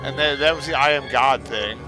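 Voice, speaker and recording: A man's voice: medium pitch, brisk, over music. A man doing casual, sarcastic and irreverent commentary while playing a video game. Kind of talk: casual mocking playthrough commentary.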